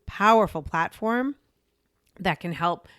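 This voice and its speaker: A woman's voice: lowish voice, animated, slow, a bit bright, smooth, no room sound, studio quality. A woman in her forties, a podcast and media coach, telling her story in a chatty way full of "you know" and "like". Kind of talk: casual interview storytelling.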